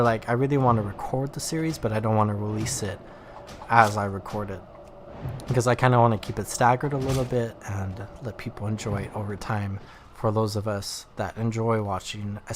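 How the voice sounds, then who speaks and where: A man's voice: medium pitch, slight background noise. A man with a nasal voice from allergies, casually rambling as he comments on a video game he is playing. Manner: rambling, lots of "like" fillers. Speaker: gaming YouTuber. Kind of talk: casual let's play commentary.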